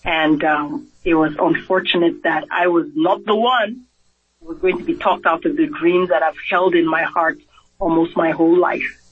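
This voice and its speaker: Nigerian-accented man, high voice, earnest radio guest, muffled broadcast sound. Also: fairly even intonation, normal speed. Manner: earnest, repeats words when starting a thought, acts out quoted voices. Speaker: physician guest. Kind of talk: radio interview.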